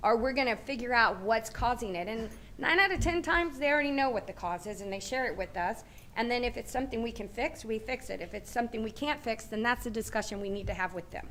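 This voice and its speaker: A woman's voice: a little high, quick pace, slight background noise. A woman with a Southern American accent, a city transportation official, methodically explaining policy at a public meeting. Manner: steady, methodical, repeats points for clarity. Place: large meeting room.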